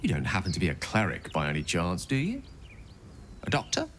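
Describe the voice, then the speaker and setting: A man's voice: low voice, huge pitch swings, fairly quick, fairly bright tone, slight background noise, full character voice. A man playing a genteel, erudite wizard in a video game, wordy, courteous and wryly witty in his phrasing. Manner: eloquent, flowery, playful phrasing. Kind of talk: theatrical video game dialogue acting.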